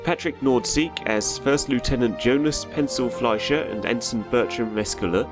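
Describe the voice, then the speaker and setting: A male narrator with a medium-pitched voice reads scripted closing credits for an audio drama. Music plays clearly behind him. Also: moderate pace.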